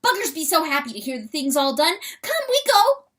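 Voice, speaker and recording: A woman voicing a small, loyal male weasel who speaks in broken, folksy grammar, calling out high and loud. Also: very animated, a little fast. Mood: frantic, excited. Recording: voice heard from a distance.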